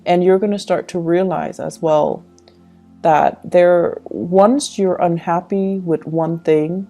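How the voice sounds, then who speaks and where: Dark, mellow-voiced woman giving a tarot reading. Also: lowish voice, fairly even intonation, slow pace, faint music behind. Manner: reflective, advising, addresses listener directly. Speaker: tarot reader and channel host. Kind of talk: intuitive tarot reading addressed to the listener.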